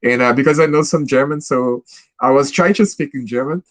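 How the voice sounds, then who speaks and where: A man's voice: medium pitch, brisk, no room sound. A man with a Brazilian Portuguese accent chatting in English at a language club, hesitant and restarting often. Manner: hesitant, restarts often, laughs while telling stories. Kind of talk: casual conversation practice.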